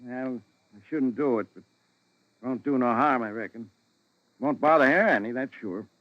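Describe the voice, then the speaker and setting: An actor playing a gruff, grumbling, money-minded cemetery caretaker with folksy rustic talk in a 1940s radio drama. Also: male voice, medium pitch, normal speed, no room sound, close to an everyday voice. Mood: uneasy. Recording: dull vintage 1940s radio recording.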